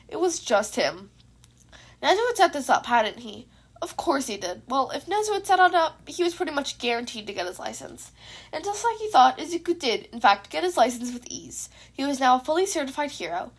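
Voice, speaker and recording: A woman reading a scripted piece of fan fiction aloud as a narrator. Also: sits high. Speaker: podfic narrator.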